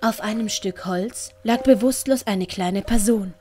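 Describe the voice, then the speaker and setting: A woman's voice: mid-range voice, a little slow, mellow, soft background music. A woman with a Swiss German accent reading scripted story narration for an audiobook.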